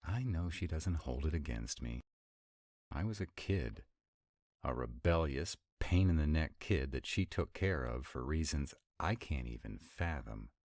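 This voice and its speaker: A man's voice: rumbling low, darkish tone, dry recording, clean studio sound, heavily acted. A man playing an irritable, sarcastic vampire with a rebellious streak in an intimate, conversational roleplay audio drama. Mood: wry, confiding. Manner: sarcastic, conversational, drawling asides. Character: sarcastic male vampire, irritable, wry, rebellious council member. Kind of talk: intimate one-sided roleplay audio acting.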